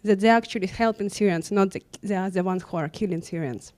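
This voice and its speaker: A woman's voice: mid-range voice, fast talker, a little mellow. A woman from Ukraine with a Ukrainian accent, earnestly asking a panel a question in non-native English. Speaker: audience member asking a question.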